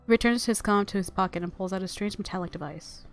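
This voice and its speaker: A woman with a medium-pitched voice reads a visual novel aloud for a let's play. Her delivery shifts between narration and playful, casual commentary, with some game music in the background. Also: fast talker.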